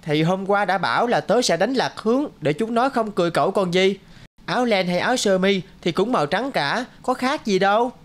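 A woman's voice: mid-range voice, expressive, brisk, close to an everyday voice. A woman voicing a good-natured, loyal schoolboy in a cartoon, with a casual youthful manner. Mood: friendly chatter. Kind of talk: cartoon voice acting.

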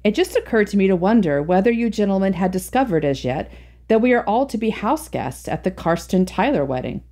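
A woman's voice: mid-range voice, lively intonation, fairly quick, dark tone, close to an everyday voice. A polite, reserved young man, read by a woman in a storybook narration. He speaks courteously and evenly. Nothing showy.

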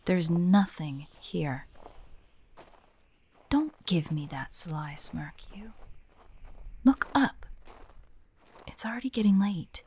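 A woman playing a prickly, guarded young hunter who secretly cares, in a soft, breathy romantic audio roleplay with a defensive, hesitant manner. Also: on the low side, expressive, slow pace, pauses often, full character voice. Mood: defensive, flustered. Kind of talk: romantic audio roleplay acting, addressed to the listener.

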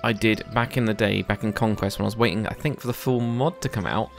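A man's voice: on the low side, steady tone, fast, creaky, faint music behind. A man hosting a let's play, giving casual, chatty commentary and thinking aloud as he plays.

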